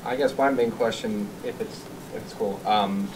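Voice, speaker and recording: A man's voice: lowish voice, fairly even intonation, normal speed, darkish tone, husky, rough recording. A man, a reporter asking a casual question off-mic, hesitant and rephrasing as he goes. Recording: off-mic voice with crowd murmur.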